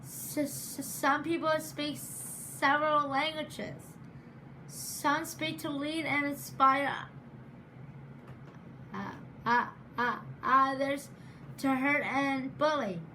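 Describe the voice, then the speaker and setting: A woman giving a prepared speech in a deliberate, measured way, stuttering often with repeated sounds and words. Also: high voice, fairly even intonation, sharp tone, slight background noise, poor audio. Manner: stutters with sound and word repetitions. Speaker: program graduate giving a speech.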